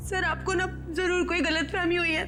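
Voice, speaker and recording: Very high, distraught TV-drama woman. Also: flat delivery, moderate pace. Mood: shocked. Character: distraught accused woman, pleading, defiant, melodramatic. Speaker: actor in a TV crime drama. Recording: loud dramatic background music.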